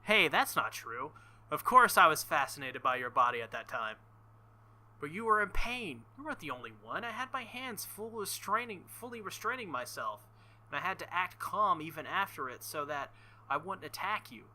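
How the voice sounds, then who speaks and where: Bright, forceful man doing animated game commentary. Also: on the high side, normal speed, poor audio. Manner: animated, sweary, joking asides. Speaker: video game playthrough host. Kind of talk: gameplay commentary reading visual novel lines aloud.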